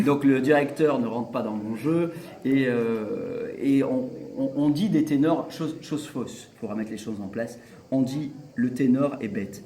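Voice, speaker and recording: A man, an opera singer acting in a comedy, speaking in an interview with halting repetitions. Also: medium pitch, a little mellow, roomy sound. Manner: halting, repeats words, good-humored. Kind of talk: festival interview, casual chat.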